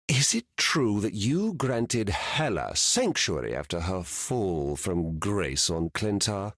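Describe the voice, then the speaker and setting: A scheming video game royal, formal and theatrical. His low voice moves with lively, regal swings. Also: takes it slowly, no room sound, clean studio sound, full character voice. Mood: sly. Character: scheming, regal male claimant to a throne. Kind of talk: video game character voice lines.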